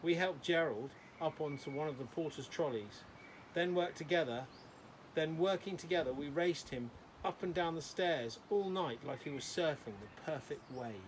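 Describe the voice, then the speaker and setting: A forthright young girl, read by a man in a children's storybook. She is outspoken and a bit bossy. There is clear noise in the background. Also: on the high side, expressive, normal speed, lightly acted, rough recording. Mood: indignant, eager.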